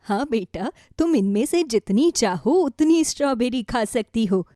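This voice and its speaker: A woman voicing a kindly, grateful old grandmother in a children's story, gentle and affectionate. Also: fairly high pitch, lively intonation, unhurried, dry recording, studio quality.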